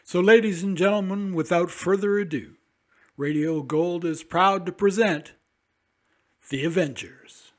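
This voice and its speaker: A man's voice: sits high, measured pace. A man presenting a scripted introduction to an old radio show.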